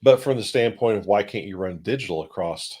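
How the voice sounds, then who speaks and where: A man's voice: on the low side, a little fast, darkish tone, a little hiss or hum. A man co-hosting a tech panel show, talking through technical points casually in a rambling, hedging way.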